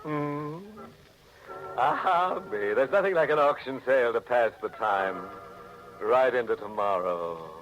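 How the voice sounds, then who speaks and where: An actor playing a slyly amused, macabre host of a 1940s radio mystery show, droll and wisecracking. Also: male voice, mid-range voice, a bit bright, faint music behind. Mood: sly amusement. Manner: droll, drawn-out. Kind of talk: 1940s radio horror hosting. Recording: dull old radio recording.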